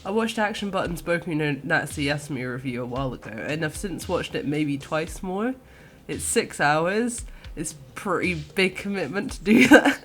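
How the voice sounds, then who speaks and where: A woman giving an enthusiastic, earnest personal video game review, partly scripted and partly conversational. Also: lowish voice. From countryside. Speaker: podcast guest reviewer. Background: music.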